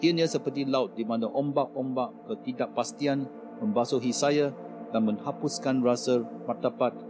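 A man's voice: mid-range voice, normal speed, music in the background, lightly acted. Man acting an anxious, poetic migrant worker. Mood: uneasy, solemn. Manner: earnest, recital-like. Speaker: corridor inhabitant. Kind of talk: recited monologue in a radio play.

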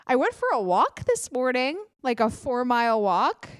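A woman's voice: high-pitched, big pitch swings, clean tone, no echo, studio quality. Chatty congested woman podcast host. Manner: chatty, self-deprecating, quick asides. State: congested, clogged ear. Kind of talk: casual solo podcast chat.

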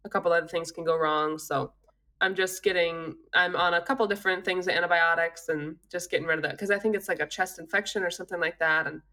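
An Australian woman co-hosting a casual chat, congested from an illness, telling stories in an animated way and laughing often. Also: lowish voice, quick pace. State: congested, with a cough. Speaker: podcast co-host.

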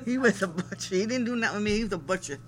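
A woman's voice: mid-range voice, lively intonation, rapid-fire. A woman chatting casually, giving short answers and rambling through old memories. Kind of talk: casual group chat. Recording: noisy crowded room.

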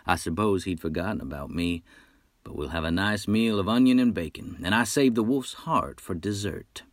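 A man voicing a loud, talkative male wolf hunter who brags in a folksy, brash way, in a storybook reading. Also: sits low, moderate pace, no echo, clean studio sound, close to an everyday voice. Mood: boastful.

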